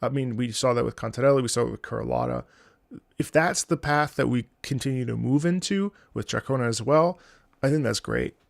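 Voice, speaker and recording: Gravelly man, casual rambling game commentary. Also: mid-range voice, brisk, no room sound, clean studio sound. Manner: rambling, thinking aloud. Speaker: streamer.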